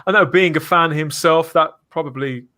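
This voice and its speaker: A man's voice: fairly high pitch, normal speed, bright, forceful tone. A man hosting a live fan podcast in a conversational style. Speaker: podcast host.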